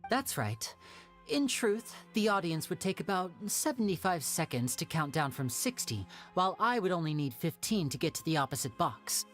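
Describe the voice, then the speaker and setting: A smooth-talking young male magician from an anime game. He is polite, articulate and earnest, speaking in a measured way over soft music. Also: a little high, very animated. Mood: explaining. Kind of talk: anime game dialogue acting.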